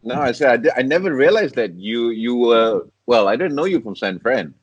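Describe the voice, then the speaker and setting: A man's voice: mid-range voice, expressive, moderate pace, breathy edge, no room sound, lo-fi sound. A man hosting a casual interview, asking rambling, friendly questions full of fillers. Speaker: podcast host.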